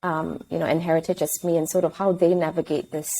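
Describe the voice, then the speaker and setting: A woman's voice: low voice, little pitch movement, fast talker, vocal fry, mellow, low-quality recording. A woman in her thirties from Singapore, a podcast guest speaking in a reflective, hesitant way that often corrects itself. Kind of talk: casual interview.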